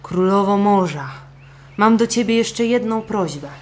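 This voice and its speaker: A woman's voice: medium pitch, animated, measured pace, voice pushed up, close to an everyday voice. A woman voicing a humble, good-natured, henpecked old fisherman in a storybook, meek and earnest. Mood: sheepish.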